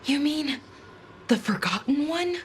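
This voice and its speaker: Unhurried, earnest young elven scholar. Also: female voice, high-pitched, animated, a little hiss or hum. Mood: eager. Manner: halting, thinking aloud. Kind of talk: naturalistic video game acting. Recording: music behind the voice.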